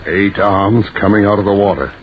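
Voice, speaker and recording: An actor playing a terror-struck man, halting and intense with dread, in an old-time radio horror melodrama. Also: fairly low pitch, steady tone, lightly acted. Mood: dazed dread. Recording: noisy, dull vintage radio recording.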